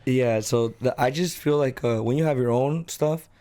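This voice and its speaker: A man's voice: medium pitch, normal speed, dark tone. A man in his twenties talking as a guest in a casual podcast chat, laid-back and slangy, saying "bro" and "like" a lot. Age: 20s.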